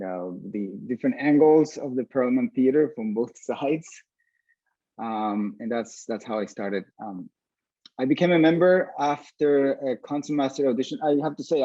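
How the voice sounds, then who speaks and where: A man from Venezuela talking casually as a musician being interviewed, pausing often mid-sentence. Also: dark tone. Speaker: orchestra violinist, interview guest. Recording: dull, roomy low-fidelity sound.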